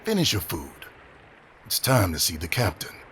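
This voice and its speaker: An actor playing a big, swaggering sailor in an audio drama, teasing and faintly intimidating. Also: male voice, sits low, huge pitch swings, moderate pace, fairly bright tone, husky, heavily acted. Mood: amused, gruff. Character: broad, swaggering, mocking male pirate sailor.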